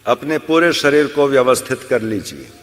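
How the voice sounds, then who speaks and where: A man leading a guided yoga nidra session as an instructor, giving measured instructions with long pauses. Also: medium pitch, sing-song. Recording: long hall-like reverb.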